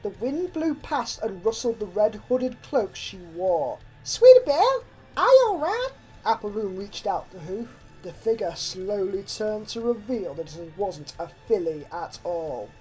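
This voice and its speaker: A woman reading a horror story aloud as dramatic, expressive narration with a suspenseful delivery. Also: mid-range voice, a little slow, few pauses, poor audio. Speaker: fan fiction narrator. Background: background music.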